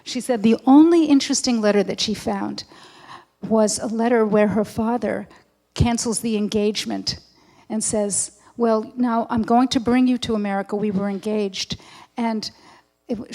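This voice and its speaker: Mellow-voiced woman commenting in a hall. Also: on the high side, fairly even intonation, moderate pace. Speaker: audience member making a comment. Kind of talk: telling an anecdote at a public event. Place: large indoor event hall. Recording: echoey hall with long reverb.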